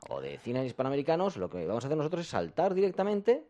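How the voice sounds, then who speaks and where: A man's voice: a little high, wildly swooping pitch, quick pace, dark tone. A man hosting a film radio show, talking in a playful, teasing way and making jokey asides. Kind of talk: casual radio banter.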